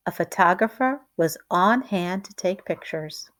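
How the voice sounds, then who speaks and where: A woman reading a children's picture book aloud like a teacher, in a deliberate, expressive storytelling way. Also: lowish voice.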